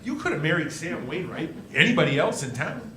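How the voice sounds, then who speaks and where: An actor playing an earnest, flustered, hot-headed young husband in a live 1940s-style radio play, with a stammering, halting delivery. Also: male voice, fairly high pitch, sing-song, pushed and bright. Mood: anxious, astonished. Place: live stage performance. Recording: roomy stage sound, faint noise.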